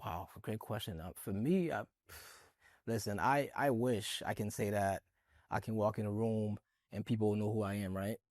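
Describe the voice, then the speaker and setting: A man's voice: fairly low pitch, normal speed, no room sound. Earnest man from Haiti, dry sound. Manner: earnest, animated storytelling, many "it's like" fillers. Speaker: guest. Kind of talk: conversational discussion.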